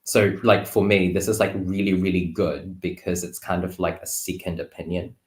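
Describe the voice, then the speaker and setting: A man's voice: low-pitched, fairly even intonation, moderate pace. A man chatting casually as a guest, talking reflectively in a loose conversational way. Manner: reflective, conversational, many filler phrases. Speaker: guest tarot reader. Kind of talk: casual chat. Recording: roomy, echoing sound.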